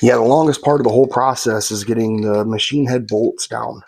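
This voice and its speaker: A man's voice: mid-range voice, fairly even intonation, brisk, gravelly, a little mellow. A man narrating a how-to build in a laid-back, folksy way while he works, sniffly from a slight cold. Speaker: guitar kit builder.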